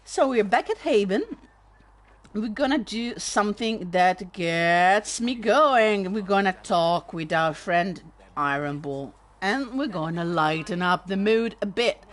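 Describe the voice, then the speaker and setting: A woman with an Italian accent, casually commenting on a game stream in a sardonic, animated way and swearing freely. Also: medium pitch, slow pace. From Italy. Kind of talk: casual let's-play commentary.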